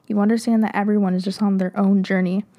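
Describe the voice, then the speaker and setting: Young woman podcaster, soft mellow voice, chatty and rambly, lots of "like". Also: mid-range voice, flat delivery, fast talker. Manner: chatty, lots of "like", reads passages aloud. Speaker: podcast host. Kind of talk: casual solo podcast talk.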